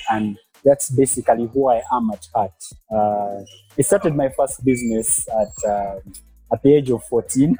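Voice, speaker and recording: A young man from Uganda, a guest entrepreneur, talking in a podcast interview with hesitant pauses and restarts. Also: medium pitch, slightly rough, dry recording, low-quality recording. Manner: hesitant, many fillers and restarts, soft-spoken.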